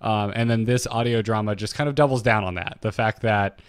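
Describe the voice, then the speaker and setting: A man co-hosting a podcast, talking casually and off the cuff in a halting, wry, conversational way. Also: fairly low pitch, fairly even intonation, smooth, no echo, studio quality. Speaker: podcast co-host. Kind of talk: casual, unscripted fan discussion.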